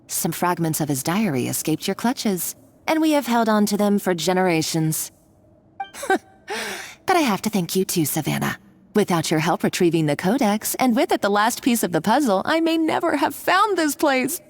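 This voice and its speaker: An actress playing a scheming villainess in a game, obsessed with treasure, grandiose and melodramatic in manner. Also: female voice, medium pitch, expressive, moderate pace. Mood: gloating. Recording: music behind.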